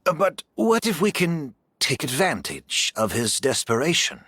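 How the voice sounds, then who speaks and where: A bright, slow-spoken man's voice for a courteous, ailing gentleman in a game drama. He is reflective and remorseful, with expressive rises and falls. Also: on the high side, slightly rough, no room sound, studio quality, heavily acted. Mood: persuasive. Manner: measured, polite, reflective. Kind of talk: video game voice acting.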